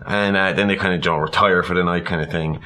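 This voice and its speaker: A man with a very low voice and a sharp, bright edge talks casually as a host. He rambles through his storytelling, breaking off for irreverent, sweary asides. There is slight noise behind him. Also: fairly even intonation, quick pace. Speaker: podcast host. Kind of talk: casual chat, retelling a story with humorous asides.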